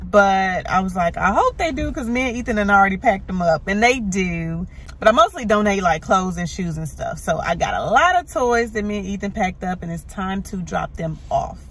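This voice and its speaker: A woman's voice: medium pitch, lively intonation, moderate pace, breathy edge. A woman in her thirties with an American accent, chatting casually and informally to her viewers as a vlogger. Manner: informal, addresses viewers as y'all. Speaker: lifestyle vlogger, host. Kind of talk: casual vlog chat to viewers. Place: parked car and home kitchen.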